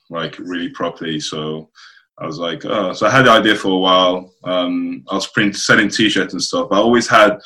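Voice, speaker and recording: A man from Nigeria chatting casually as an interview guest, often halting and rephrasing as he goes. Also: sits low, bright, forceful tone, low-quality recording. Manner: halting, circling back to rephrase. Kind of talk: casual chat. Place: remote video call.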